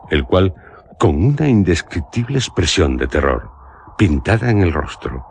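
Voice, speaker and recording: An actor playing a melancholic traveller narrating a literary radio drama, solemn and measured, with a Castilian accent. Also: male voice, sits low, darkish tone, a little hiss or hum, full character voice. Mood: grave, suspenseful. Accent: Castilian Spanish. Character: solemn, melancholic male traveller recounting an eerie journey.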